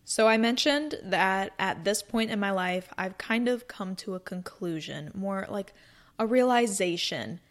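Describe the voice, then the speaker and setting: A woman's voice: mid-range voice, expressive, a little slow. A woman in her twenties hosting a casual solo podcast, chatting in a rambling, upbeat way from a cozy room. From North Carolina, US. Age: 20s. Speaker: podcast host.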